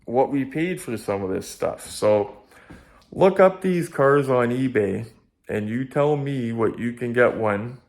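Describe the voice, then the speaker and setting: A Canadian man speaks in a medium-pitched voice as he hosts a casual collectibles review. He addresses viewers directly and sounds keen on the subject. He often repeats phrases to drive a point home. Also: lively intonation, slow pace, darkish tone. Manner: enthusiastic, repeats phrases for emphasis. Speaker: collectibles video host.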